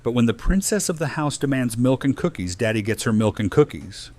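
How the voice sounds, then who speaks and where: A man's voice: mid-range voice, very animated, brisk, a little mellow, close to an everyday voice. A man voice-acting a good-humoured, practical father and survivor in an audio drama, easygoing and matter-of-fact.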